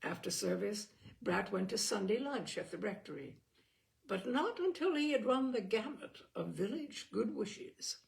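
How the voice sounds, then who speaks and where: A woman's voice: mid-range voice, lively intonation, measured pace, gravelly. A woman reading a novel aloud as an audiobook narrator, telling the story expressively with the occasional small stumble. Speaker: audiobook reader.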